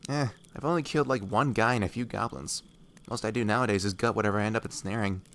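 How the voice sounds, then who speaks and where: A man voicing a laid-back, blunt but kindly woodsman, casual and unhurried, in a fantasy audio roleplay. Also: lowish voice, a bit bright. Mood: easygoing. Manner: casual, unhurried, matter-of-fact.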